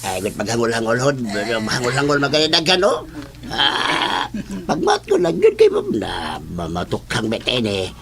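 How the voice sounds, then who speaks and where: A man's voice: mid-range voice, wildly swooping pitch, moderate pace, vocal fry, a crowd in the background. A man playing a jittery, grumbling, easily spooked gossip in a horror audio drama. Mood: grumbling, nervous.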